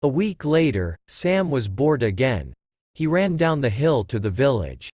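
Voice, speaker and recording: A man's voice: medium pitch, sing-song, a little slow, a little mellow, no room sound, highs cut off. A man narrating a scripted story for children.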